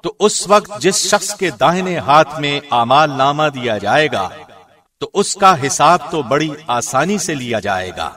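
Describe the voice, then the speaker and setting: A man reading a scripture translation formally, in the measured, solemn manner of a radio presenter. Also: fairly high pitch, big pitch swings, bright, forceful tone, distant mic. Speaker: translation reader, radio host.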